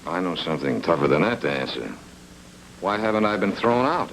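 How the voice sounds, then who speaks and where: Wisecracking noir detective, swinging pitch. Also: male voice, very animated, a little fast, fairly bright tone, a little hiss or hum, heavily acted, poor audio. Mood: probing. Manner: sardonic, dry, slangy. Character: wisecracking, hard-boiled male private investigator. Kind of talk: film noir radio drama acting.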